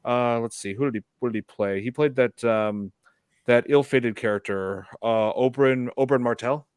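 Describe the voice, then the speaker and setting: A man's voice: medium pitch, normal speed, a little mellow, smooth, dry recording. Rambling man hosting a podcast, dry sound. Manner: rambling, many fillers. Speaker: podcast host. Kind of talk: casual podcast chat.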